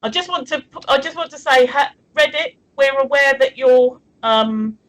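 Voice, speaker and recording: A woman of fifty with a slightly high voice, co-hosting a casual livestream. She talks freely, laughs easily, and reads out viewers' questions. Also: normal speed, rough recording. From England. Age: 50s. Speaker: livestream co-host. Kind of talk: casual chat.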